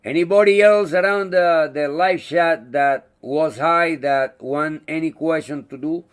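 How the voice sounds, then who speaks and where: A man whose first language is not English, hosting a casual live chat, talking in a halting way and searching for words. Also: on the high side, clean tone. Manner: friendly, thanks viewers by name. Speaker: livestream host.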